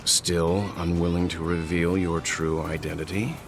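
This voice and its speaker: An actor voicing a stern, guarded veteran of a space crew in a video game, formal and deliberate. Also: male voice, low-pitched, level tone. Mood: stern, threatening. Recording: music clearly behind the voice.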